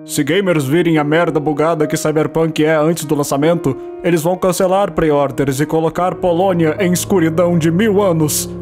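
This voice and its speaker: A man with a Brazilian Portuguese accent dubbing a comedy cartoon in a panicked, shouty way. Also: fairly high pitch, steady tone, moderate pace, faint music behind. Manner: shouty, panicked comic delivery. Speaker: fan dubber. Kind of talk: fan dub of a comedy cartoon.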